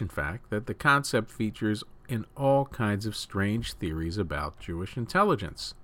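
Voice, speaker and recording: Man, lowish pitch, dry close sound, scripted news narration, host reading stories. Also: a bit bright, dry recording.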